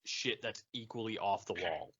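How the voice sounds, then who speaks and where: A man's voice: fairly low pitch, moderate pace, a bit bright, breathy edge, highs cut off. A man bantering casually as a podcast co-host, with some free swearing. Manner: swears freely.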